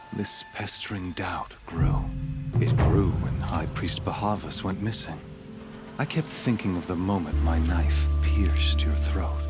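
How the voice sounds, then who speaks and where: Game villain: fanatical sun warrior, deliberate and theatrical, slow delivery, prominent music underneath. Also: male voice, low voice. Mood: troubled, intense. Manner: grandiose, deliberate, theatrical oratory. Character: menacing, fanatical zealot warrior, a prophetic killer champion of the sun. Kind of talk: dramatic video game cutscene acting.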